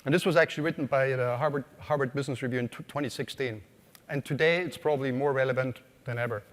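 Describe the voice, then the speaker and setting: A man's voice: mid-range voice, fast talker. Austrian man giving a keynote in a hall. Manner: conversational, asks the audience questions, frequent uh fillers. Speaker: conference speaker. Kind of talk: keynote talk to a live audience. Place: conference hall.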